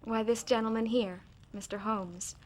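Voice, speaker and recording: A woman's voice: a little high, steady tone, normal speed, lightly acted. A woman playing an earnest, contrite young bride in a period radio drama, speaking with heartfelt frankness. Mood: grateful.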